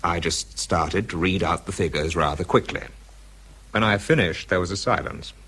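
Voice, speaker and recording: An actor playing a scientific aide who narrates a radio drama in the first person, dry, wry and understated. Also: male voice, sits low, normal speed, fairly bright tone, husky. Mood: dry recounting.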